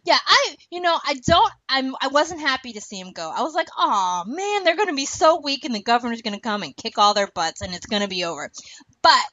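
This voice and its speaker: A woman's voice: high voice, brisk, no echo, dull audio. A woman co-hosting a casual fan podcast, talking in a playful, slangy way. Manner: animated fan talk with slangy, playful wording. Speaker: podcast host. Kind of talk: casual podcast chat about a TV show.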